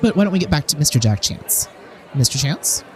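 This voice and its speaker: Female talk show host, played by an actress, self-promoting, backhanded, very animated delivery over crowd murmur. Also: low voice, fairly quick, a little hiss or hum. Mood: thinly polite. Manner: gushing with dry, backhanded politeness. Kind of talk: broadcast interview in audio drama.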